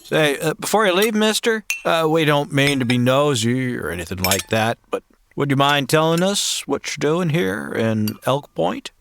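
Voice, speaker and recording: A man playing an easygoing drifter gunslinger with a relaxed, hesitant way of talking, in a comedy audio drama. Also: on the high side, moderate pace, gravelly, background noise. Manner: laid-back, hesitant fillers.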